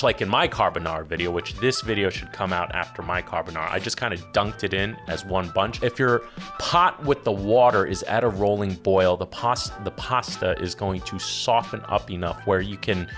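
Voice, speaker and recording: Middle-aged chef guy reacting to a cooking clip, blunt, swears a lot, jokey, faint music under it. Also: lowish voice. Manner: blunt, profane, animated and joking. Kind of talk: casual reaction commentary.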